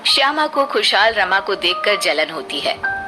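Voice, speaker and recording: A woman's voice: mid-range voice, very animated, unhurried, over music. A woman telling a scripted cartoon story as its narrator.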